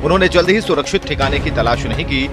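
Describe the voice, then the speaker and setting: A man reads a news report in a formal, measured way. His voice sits a little high, with faint music underneath. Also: animated. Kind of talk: scripted news narration.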